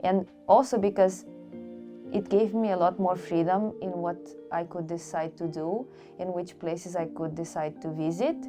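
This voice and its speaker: A woman, speaking candidly in an interview. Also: on the low side. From Portugal. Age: late 20s. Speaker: interviewee, solo traveller.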